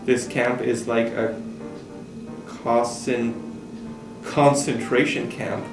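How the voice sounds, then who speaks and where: A man reading a child's letter aloud in a halting way, stumbling and correcting himself. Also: medium pitch, steady tone. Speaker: staff member reading aloud. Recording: distant roomy mic with guitar music. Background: guitar music.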